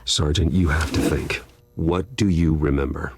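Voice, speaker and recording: A man voicing a brooding, serious vigilante detective in a video game, terse and measured. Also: very deep voice, fairly even intonation, slightly rough, dry recording, exaggerated voice. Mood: grim, focused.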